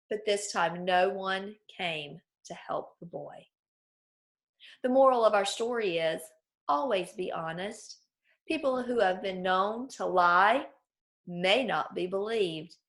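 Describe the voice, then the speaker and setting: A woman teacher with a medium-pitched voice leads a read-aloud lesson for children. She explains each point clearly and often turns to her listeners with questions. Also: a bit bright.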